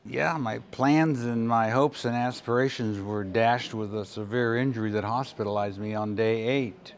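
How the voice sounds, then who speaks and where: A man in his seventies from California, calmly telling of an injury while seated indoors on a sofa, wearing a lapel mic. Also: medium pitch, moderate pace. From California, USA. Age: 70s. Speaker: pilgrim interviewee. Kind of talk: reflective storytelling.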